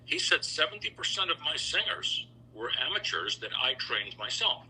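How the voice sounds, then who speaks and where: A man's voice: a little high, very animated, normal speed, pushed and bright, slight background noise, poor audio. A middle-aged man telling anecdotes conversationally as an interview guest, voicing the dialogue he recalls. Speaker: interview guest, businessman and choral bass singer.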